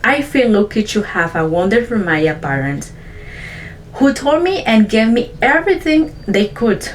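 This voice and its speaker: A woman's voice: a little high, lively intonation, a little slow, a little hiss or hum, rough recording. Earnest woman, Mayan-speaking vlogger. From Chiapas, Mexico. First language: Chong (a Mayan language). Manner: earnest, simple sentences, careful non-native English. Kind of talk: reflective personal narration.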